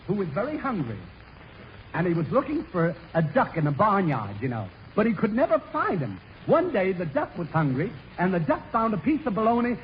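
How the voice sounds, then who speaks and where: An actor playing a silly, exasperated prison warden in a 1930s radio comedy, clownish and given to muttered sarcastic asides. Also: male voice, high-pitched. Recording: muffled, noisy 1930s radio broadcast.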